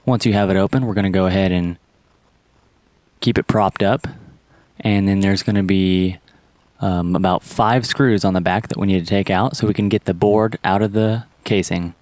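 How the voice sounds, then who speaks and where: A man's voice: sits low, steady tone, normal speed, a little mellow, no room sound. A man narrating a step-by-step repair tutorial in a plain, instructional manner. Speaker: how-to presenter.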